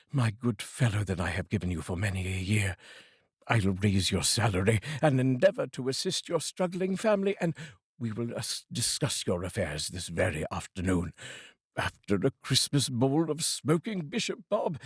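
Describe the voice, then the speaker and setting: A man voicing an old reformed miser who booms with cheer and sometimes puts on a gruff growl for his clerk, in a storybook reading. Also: dry recording, studio quality. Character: old man, former miser turned giddy and jolly.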